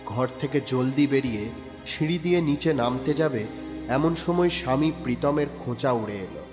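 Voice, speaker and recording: A man narrating a crime audio story in a measured, descriptive storytelling style. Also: mid-range voice. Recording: reverberant room with background music. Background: soft background music.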